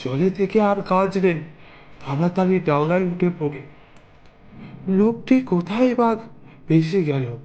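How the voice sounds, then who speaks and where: A man's voice: sits high, lively intonation, a little slow, long pauses, highs cut off, voice pushed up. A man voicing an uneasy village man out at night in a horror cartoon, talking anxiously to himself. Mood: alarmed, puzzled.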